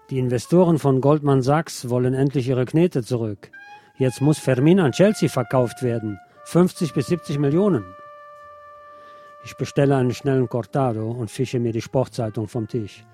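Mellow male radio essayist. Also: medium pitch, moderate pace, faint music behind. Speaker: radio host. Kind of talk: scripted personal essay narration.

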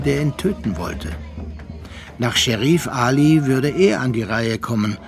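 An actor playing a reflective storyteller, narrating a literary radio drama in a measured, articulate manner. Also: male voice, medium pitch. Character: reflective male storyteller recounting a colonial adventure. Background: prominent music.

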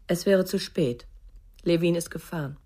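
Husky, low-voiced uneasy woman played by an actress. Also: normal speed, warm tone, close to an everyday voice. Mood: uneasy, resigned. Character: uneasy, guarded woman, confidante of an ex-policeman. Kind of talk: German radio crime drama acting.

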